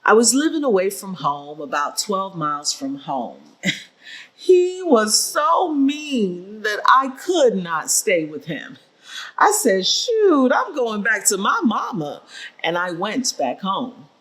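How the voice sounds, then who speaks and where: An actress plays a blunt, wry elderly grandmother in a staged reading. Her husky voice is slow and emphatic, swinging widely in pitch. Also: very animated, fairly bright tone, exaggerated voice. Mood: wry, feisty. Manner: emphatic, plainspoken. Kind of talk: dramatic staged reading of oral history.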